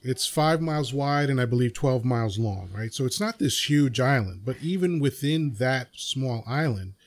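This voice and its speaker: A man's voice: medium pitch, expressive, normal speed. New York man hosting a podcast. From New York City. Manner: explains at length with frequent fillers and rhetorical "right?". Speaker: podcast host. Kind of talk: casual conversation.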